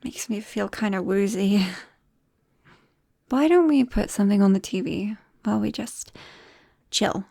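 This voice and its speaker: A woman acts out a nervous, affectionate young British woman in an intimate ASMR roleplay. Her voice is soft and mellow with a creaky edge, and she speaks hesitantly. The sound is very close and dry. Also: medium pitch, measured pace, pauses often, no room sound. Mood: awkward, apologetic. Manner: hesitant, soft-spoken, breaking into whispers and small nervous laughs. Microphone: close-up microphone, dry.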